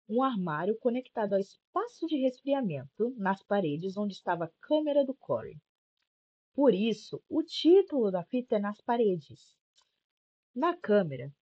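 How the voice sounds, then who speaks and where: A woman's voice: medium pitch, mellow, slightly rough. A young woman with a Brazilian accent giving casual, sarcastic video-essay commentary, informal and prone to swearing, on a slightly dull lo-fi microphone. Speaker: YouTube commentary host.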